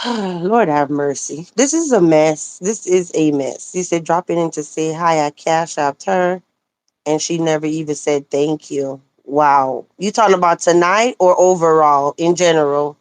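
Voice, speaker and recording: A woman livestreaming casual commentary, animated and sassy, answering viewer comments, her voice a little hoarse. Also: low voice, unhurried, dry recording. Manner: animated, sassy, talks back to chat comments. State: slightly hoarse. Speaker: livestreamer reading chat.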